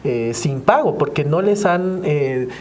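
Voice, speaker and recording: A man's voice: on the high side, expressive, slow pace, darkish tone. A man with a Mexican accent speaking as a human rights advocate in a panel discussion, earnest and explanatory, often rephrasing himself. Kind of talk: spoken commentary in a panel discussion. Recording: clear background noise with room ambience.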